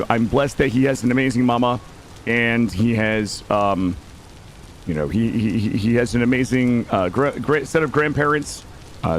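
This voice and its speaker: A man livestreaming a game, chatting casually and playfully with some mild swearing, his voice slightly gravelly and not yet warmed up. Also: mid-range voice, a little hiss or hum. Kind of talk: casual gaming livestream commentary and chat.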